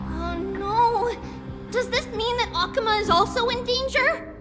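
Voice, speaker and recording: A woman's voice: extremely high pitch, unhurried, big-room sound, over music. A woman voicing a tiny, sweet, earnest little girl in a video game, with a childish, wide-eyed, hesitant delivery. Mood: worried. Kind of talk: cute video game character acting.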